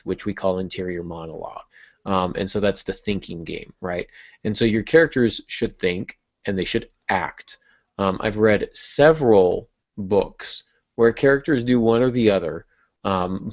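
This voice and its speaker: A man's voice: on the low side, darkish tone. A man hosting a conversational discussion about writing, explaining informally with wry asides. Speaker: podcast host. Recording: muffled lo-fi audio.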